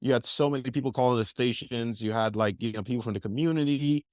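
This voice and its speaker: A man's voice: medium pitch, fast, a little mellow, no echo, studio quality. A young man, a podcast guest telling his story in an eager, rambling way, full of fillers. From Bahamas. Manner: eager, rambling, heavy use of like and you know. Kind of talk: casual interview storytelling.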